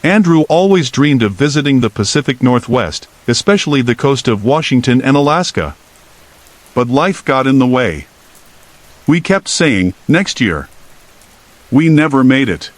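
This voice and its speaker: A man's voice: medium pitch, unhurried, dry recording. Man narrating horror stories over rain. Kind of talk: scripted narration. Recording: rain sounds behind the voice. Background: steady rain.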